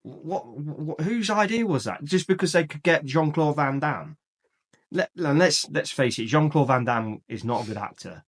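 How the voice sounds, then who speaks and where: A man's voice: a little high, expressive, normal speed, husky. Guy chatting films, strong opinions, lots of ums and restarts. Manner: rambling, opinionated, many fillers and restarts. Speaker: podcast co-host. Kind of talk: casual film chat.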